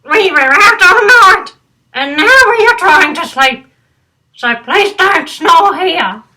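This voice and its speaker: An older woman plays a tired little storybook bat who wants quiet. The voice is pitched far up and bright, complaining and insistent. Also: lively intonation, slow, full character voice. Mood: irritated. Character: tired, irritated bat wanting quiet to sleep. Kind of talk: children's storybook character voice.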